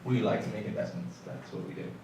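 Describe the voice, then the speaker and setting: Mid-voiced male panelist, chatty explainer, recorded far off in a cavernous room. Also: quick pace. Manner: explanatory, loose and conversational. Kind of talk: panel discussion. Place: conference hall. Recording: echoey hall from far off, faint noise.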